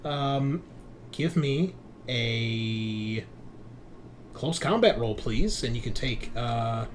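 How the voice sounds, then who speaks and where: A man narrating a tabletop roleplaying game as game master, describing scenes in a halting, improvised way. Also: mid-range voice, animated. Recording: faint background noise.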